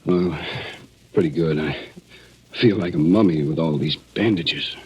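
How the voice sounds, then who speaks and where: An actor playing a shaken, determined wounded man who narrates a radio murder mystery in a halting, dramatic old-time style. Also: a bass voice, darkish tone, breathy edge, lo-fi sound, full character voice. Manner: halting, repeating words. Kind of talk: 1940s radio acting, first-person dramatic narration.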